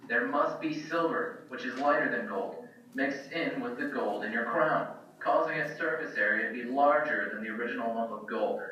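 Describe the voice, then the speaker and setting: A man's voice: medium pitch, normal speed, bright, forceful tone, natural voice. A man playing a boastful, self-absorbed genius inventor in a children's puppet show, theatrical and self-assured. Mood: smug. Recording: echoey room, distant mic, dull, some noise.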